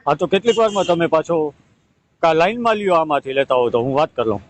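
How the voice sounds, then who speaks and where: A man's voice: fairly high pitch, lively intonation, quick pace. A man with a Saurashtra Gujarati accent pleading insistently and repeating his request on a recorded phone call. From Gujarat, India. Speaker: caller seeking help.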